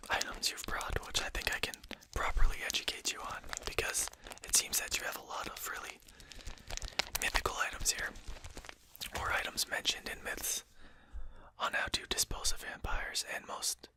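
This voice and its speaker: Whispering, composed, condescending vampire, acted out softly. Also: no echo. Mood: politely condescending. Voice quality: breathy unvoiced whisper. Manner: slow, articulate, formal, gently mocking. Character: composed, courteous, condescending vampire addressing a hunter. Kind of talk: whispered ASMR roleplay.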